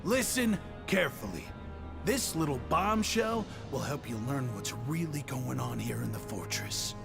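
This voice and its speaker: Cocky know-it-all inmate from a video game, swoopy theatrical delivery, music behind him. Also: male voice, medium pitch, expressive, measured pace, gravelly, a bit bright. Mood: boastful. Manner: boastful, conspiratorial, theatrical. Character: cocky, self-important gossiping male inmate, eager show-off.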